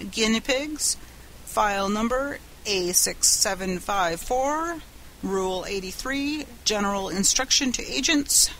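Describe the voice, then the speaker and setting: A woman's voice: fairly high pitch, a bit bright, pitched above the performer's own voice, low-quality recording. Woman reading as stiff businesslike office boss man, formal and bureaucratic, bit of noise. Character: officious, businesslike male tariff department head.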